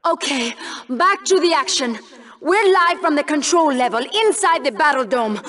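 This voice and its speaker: A woman's voice: high-pitched, lively intonation, moderate pace, fairly bright tone. An actress playing a brash, scornful female TV co-anchor in a video game, with a theatrical, biting delivery. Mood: brisk, professional. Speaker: show co-host. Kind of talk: over-the-top video game broadcast banter.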